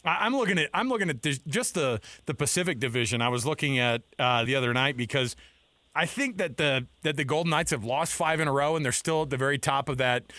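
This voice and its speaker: A man co-hosting a sports radio show, talking in an excited, rambling way. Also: medium pitch, fast talker, no room sound. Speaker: radio co-host. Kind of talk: casual radio talk.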